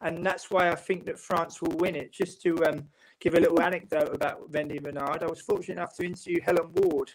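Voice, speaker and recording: A middle-aged man from England, a football writer and guest, talking on a video call in a measured, reflective way from a home room. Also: on the high side, flat delivery, poor audio. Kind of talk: casual panel chat. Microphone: video call webcam.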